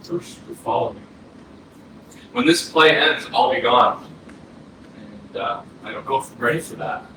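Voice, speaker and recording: A man playing the wistful ghost of a fourteen-year-old boy in a staged reading, halting and poetic with pauses. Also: medium pitch, animated, lightly acted. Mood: wistful, hesitant. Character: dead fourteen-year-old boy's ghost, dreamy, wistful would-be filmmaker. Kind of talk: staged reading of a play, monologue. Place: indoor arts center stage, recorded from afar. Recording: very echoey, distant hall, clear noise, processed.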